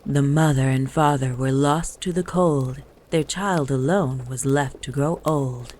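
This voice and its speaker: A woman storyteller reading a rhyming Christmas poem in a measured, lilting delivery. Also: rumbling low, a little mellow, slight background noise. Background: music.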